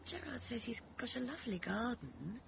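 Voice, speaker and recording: A middle-aged English mother in a radio play, high-voiced and very animated. She is eager, anxious and gently fussing, always placating. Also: moderate pace, gravelly, slight background noise, heavily acted. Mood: wistful, hesitant. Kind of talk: radio drama acting.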